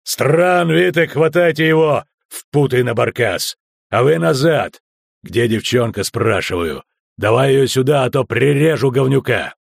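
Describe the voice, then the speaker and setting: A man voicing a gruff, impatient, threatening guard ruffian who barks commands in an audiobook story. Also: medium pitch, animated, a little slow, no echo, full character voice. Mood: menacing.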